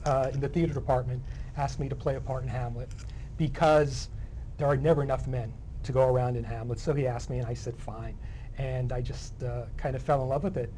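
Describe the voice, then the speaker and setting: A middle-aged man with a medium-pitched voice answers interview questions in an understated, conversational way. Some background noise sits behind his talk. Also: a little mellow, poor audio. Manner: understated, modest. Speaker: interview guest, playwright. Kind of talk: casual interview chat.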